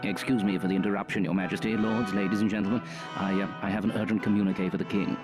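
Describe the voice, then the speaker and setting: A man voicing a nervous, deferential court messenger in a video game, hurried and apologetic. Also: on the low side, level tone, lightly acted. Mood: flustered. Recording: music under the dialogue.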